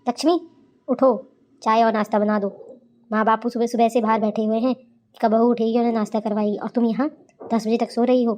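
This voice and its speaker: A woman's voice: on the high side, flat delivery, fairly quick, dark tone, close to an everyday voice. A woman voicing a harried young village husband in a cartoon, beseeching and exasperated. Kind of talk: cartoon character dialogue.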